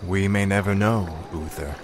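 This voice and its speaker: Actor as a very deep, arrogant villain prince. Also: male voice, moderate pace, lightly acted. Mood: cold, arrogant. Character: arrogant, menacing villain prince from fantasy game. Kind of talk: video game villain acting. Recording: noisy game clip with background ambience.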